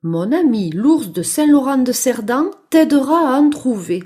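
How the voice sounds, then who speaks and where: A helpful male donkey from a storybook, acted out by a woman. He brays his greetings and instructs in a slow, friendly way, with lively swings of pitch. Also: slightly rough, full character voice. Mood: encouraging, instructive. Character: friendly, helpful male Catalan donkey who teaches dancing.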